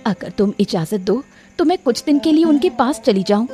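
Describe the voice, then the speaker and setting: A woman's voice: on the high side, expressive, moderate pace, faint music behind, natural voice. A woman playing a meek, pleading, mistreated village wife in a cartoon story. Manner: soft-worded, pleading.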